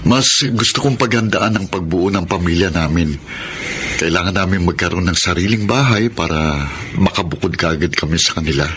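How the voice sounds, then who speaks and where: Low-voiced, earnest young groom-to-be. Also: male voice, normal speed, slight background noise. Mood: respectful, sincere. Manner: sincere, gentle. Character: earnest, devoted young groom-to-be, respectful and tender. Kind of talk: radio drama acting.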